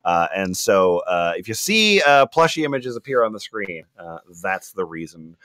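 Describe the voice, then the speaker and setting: Animated man reading aloud, dry close sound. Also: deep voice, moderate pace, dry recording. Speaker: reader and host on a comedy podcast. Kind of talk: comedic read-aloud of forum posts with casual asides.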